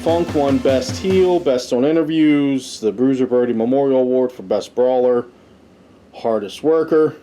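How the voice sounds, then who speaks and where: Slow-talking man with a mellow voice. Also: medium pitch, faint music behind. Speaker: podcast host, wrestling fan reviewer. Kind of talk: casual unscripted commentary.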